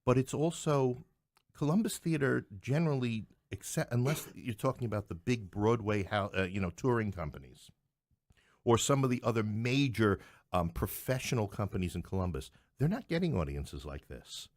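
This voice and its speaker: A man's voice: medium pitch, lively intonation, normal speed, dry recording. A middle-aged man from New York City talking as a playwright guest in a conversational interview, with wry joking asides.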